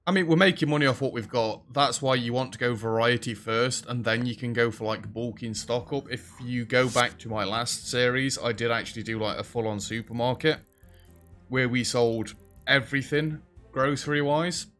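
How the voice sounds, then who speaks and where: A young British man with a rather deep voice, chatting casually over a game as host and joking with the characters on screen. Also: fairly even intonation, normal speed, a bit bright, soft background music. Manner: chatty, self-deprecating, talks to game characters. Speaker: gaming video host. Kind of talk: casual let's-play commentary. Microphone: headset.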